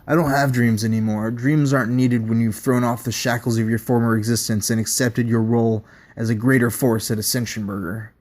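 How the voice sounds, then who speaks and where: A man voicing an unsettled male test subject who talks slowly and quietly in a dreamy, rambling way. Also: mid-range voice, little pitch movement, natural voice.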